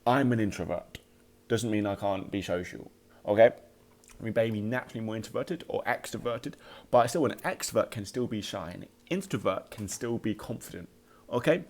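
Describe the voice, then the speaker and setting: Low-voiced man, halting motivational talk. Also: animated. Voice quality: slight occasional stutter. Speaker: podcast host.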